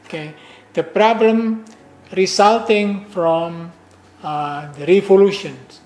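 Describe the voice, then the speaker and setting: An older professor gives an academic lecture, stopping often on fillers and restarts. His voice sits fairly high and moves at an unhurried pace. The room around him echoes noticeably. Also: darkish tone, faint music behind. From Indonesia. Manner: slow, halting delivery with many fillers and restarts.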